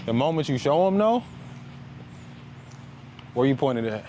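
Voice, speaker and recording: A man's voice: medium pitch, very animated, unhurried, dark tone. A man talking in a motivational, conversational vlog style, emphatic and building points through repeated phrases. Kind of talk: motivational conversational talk while walking. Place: outdoors, walking up a paved hill. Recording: noisy outdoor recording with traffic.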